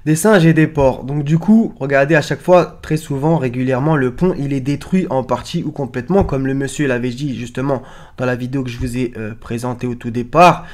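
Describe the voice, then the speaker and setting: A man's voice: mid-range voice, fairly quick, darkish tone. A man hosting an online video with informal, rambling commentary on on-screen images, explaining insistently and often trailing off. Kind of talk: informal screen-share commentary on film posters.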